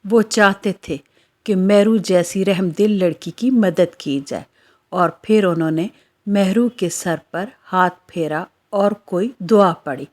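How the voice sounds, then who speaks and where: A woman's voice: mid-range voice, slow. A woman narrating a scripted children's moral story as the storyteller.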